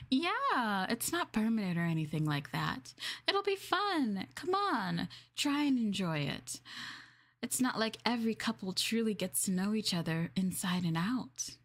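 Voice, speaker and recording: Creature girlfriend played by a woman: teasing, jealous, hesitant and sweet, swooping intonation, slow-paced delivery, roleplay audio drama. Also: medium pitch. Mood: playful, coaxing. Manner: coy, teasing, hesitant, expressive, with pet names. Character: sweet, jealous, flustered boneless creature girlfriend inhabiting her partner.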